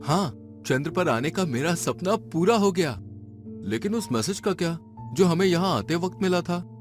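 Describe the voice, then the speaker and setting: A man's voice: a little high, expressive, normal speed, soft background music, close to an everyday voice. A man playing an eager, anxious male space scientist in a dramatized adventure story. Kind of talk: dramatized Hindi audio story dialogue.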